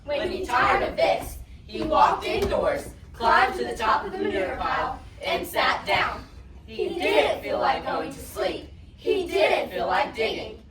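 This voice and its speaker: A young female narrator tells a scripted story with theatrical emphasis. Her high voice swings widely in pitch. She is recorded from afar in an echoey space full of crowd noise. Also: sing-song, measured pace. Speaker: narrator in student reader's theatre. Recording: echoey hall, crowd noise, distant mic.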